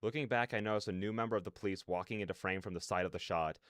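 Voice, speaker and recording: A man reading aloud as a wry first-person narrator of a story game, in measured, dramatic storytelling. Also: on the low side, a bit bright, smooth, no room sound, studio quality, close to an everyday voice. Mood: wry, intent. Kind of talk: scripted narration read aloud.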